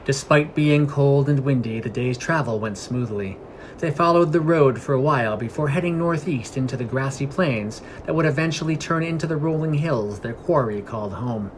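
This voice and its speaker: A man's voice: medium pitch, moderate pace, slight background noise. A man reading scripted fantasy fiction as a podcast narrator.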